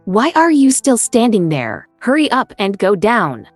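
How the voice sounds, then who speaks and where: A man narrating an animated story voices an angry, suspicious wife in a raised, high put-on voice. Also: unhurried, no room sound, faint music behind, heavily acted. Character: furious jealous wife, sharp and commanding.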